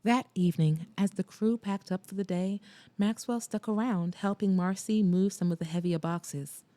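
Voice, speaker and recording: A woman reading a first-person romance audiobook in a soft, intimate storytelling way and hosting her podcast. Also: mid-range voice, normal speed, no echo. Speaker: narrator and podcast host.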